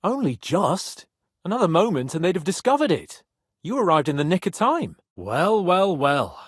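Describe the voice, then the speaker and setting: Brash young nobleman, husky, wildly expressive. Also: sits high, moderate pace, no room sound, studio quality, exaggerated voice. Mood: cocky teasing. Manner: cocky, sardonic, expressive. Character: brash young male medieval nobleman, haughty, teasing, hot-headed. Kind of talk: dramatic video game voice acting.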